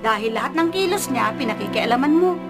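A middle-aged mother, soft on her child and protective. Her voice is quite high. It is a radio drama scene with loud music underneath. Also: expressive, fast talker. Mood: protective pleading.

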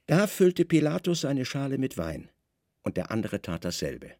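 Creaky-voiced male literary narrator. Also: medium pitch, sing-song, normal speed, dark tone, no room sound, studio quality. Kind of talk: literary radio drama narration.

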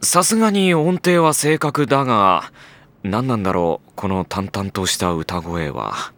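A man playing a put-upon high-school boy, a dry, grumbling straight man in an anime comedy audio drama. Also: expressive, a little slow, a bit bright. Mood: wry, weary.

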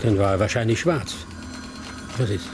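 An actor playing a prickly police detective who talks in a blunt, provoking way in a radio crime drama. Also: male voice, lowish voice, moderate pace, natural voice. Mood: needling, defensive. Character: prickly, needling male police detective in squad room. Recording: noisy background ambience.

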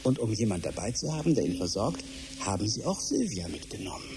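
A halting, fretful husband, swinging pitch. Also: male voice, sing-song, noisy background. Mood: earnest, halting. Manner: halting, rambling, self-reassuring. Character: fretful husband and father clinging to comforting explanations. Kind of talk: German radio drama acting.

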